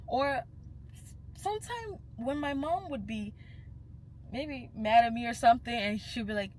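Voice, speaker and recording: A woman's voice: on the high side, lively intonation, a little slow, darkish tone, a little hiss or hum, poor audio. Passionate young woman. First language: Yoruba. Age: young adult. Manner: passionate and emphatic, slipping into Yoruba phrases. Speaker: vlogger. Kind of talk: casual vlog monologue.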